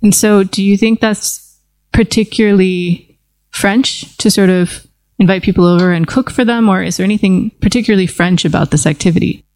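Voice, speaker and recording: A woman's voice: medium pitch, normal speed, a little mellow. A woman from the United States hosting a casual table conversation, chatty and full of fillers and restarts. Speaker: host.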